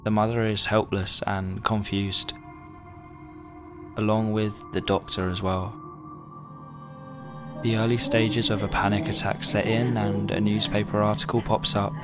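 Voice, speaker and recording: A man narrating a scripted horror analysis video in a measured way, with pauses for effect. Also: lowish voice, little pitch movement. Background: music.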